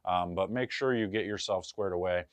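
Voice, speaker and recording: A man's voice: sits low, moderate pace, clear, resonant tone, dry recording. A man, talking casually to camera from a bowling pro shop and giving loose, chatty advice with jokey asides. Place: bowling pro shop in front of a ball wall.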